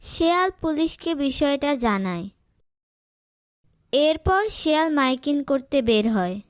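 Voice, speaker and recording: A woman's voice: sits high, sing-song, measured pace, dry recording, studio quality. A woman reading scripted narration for a cartoon story.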